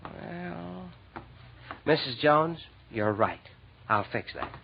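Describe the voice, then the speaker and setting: An actor in a 1940s radio drama playing a warm, earnest, lovestruck department store manager with an expressive delivery. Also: male voice, a little high, slow, gravelly, background noise, exaggerated voice. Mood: gentle. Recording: dull 1940s radio recording.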